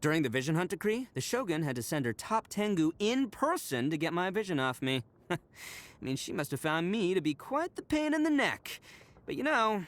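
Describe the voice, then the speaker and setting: Young male oni gang boss, high-pitched, hugely swooping, goofy, boastful, slangy, video game character. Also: big pitch swings, normal speed, fairly bright tone. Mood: cocky. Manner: boisterous, boastful, slangy, theatrical swings.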